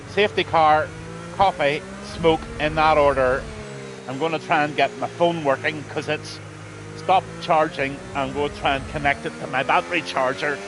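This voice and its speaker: A man's voice: fairly high pitch, steady tone, moderate pace, noisy background. A man, losing his voice, commentating a live online race in a rambling, blunt way with lots of ums. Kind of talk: live sim racing commentary.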